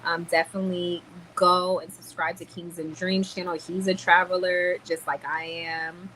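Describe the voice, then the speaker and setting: A woman's voice: fairly low pitch, level tone, moderate pace, sharp tone, a little hiss or hum, rough recording. A woman hosting a livestream panel, chatting bluntly and casually with slangy speech. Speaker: livestream host.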